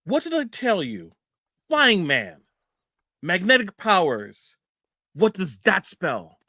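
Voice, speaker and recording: A man's voice: sits high, wildly swooping pitch, slow, sharp tone, dry recording, clean studio sound, exaggerated voice. A man acting an indignant, fearmongering American senator in a radio play, speechifying with rhetorical emphasis. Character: alarmist, indignant male American senator stirring up fear.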